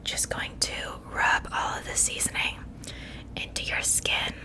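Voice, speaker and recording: A woman's voice: unhurried, lightly acted. A woman voicing a sweetly menacing witch in a whispered ASMR roleplay, soothing and falsely reassuring as she talks without hurry. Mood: soothing, gleeful. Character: sweetly menacing witch seasoning her captive for stew.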